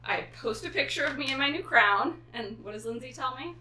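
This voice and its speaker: A woman's voice: high-pitched, lively intonation, fairly quick, a crowd in the background. An American woman hosting in a casual, playful way, rambling informally as she chats. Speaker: host. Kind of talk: casual, chatty vlog talk.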